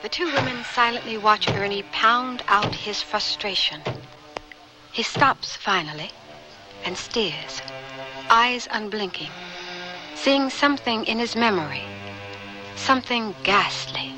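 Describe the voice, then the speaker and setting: Slow, high-voiced female drama narrator. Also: animated, over music, rough recording. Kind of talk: scripted radio drama narration.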